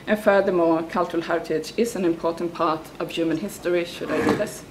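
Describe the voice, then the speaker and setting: A woman, a researcher presenting at an academic conference, reading her prepared paper in English with some halting starts. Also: lowish voice, fairly even intonation, noisy background. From Sweden.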